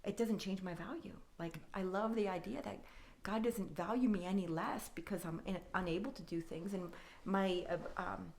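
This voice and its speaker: A woman's voice: medium pitch, fairly even intonation, moderate pace, gravelly, few pauses. A woman guest on a podcast, talking earnestly and thoughtfully about faith and identity, building her points in lists. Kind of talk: reflective faith-based conversation.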